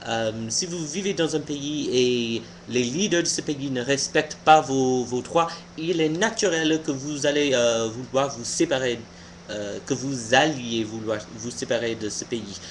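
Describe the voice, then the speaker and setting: A young American man, a native English speaker, giving an informal opinion monologue haltingly, searching for words. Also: medium pitch, a little hiss or hum. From United States. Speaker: video blogger.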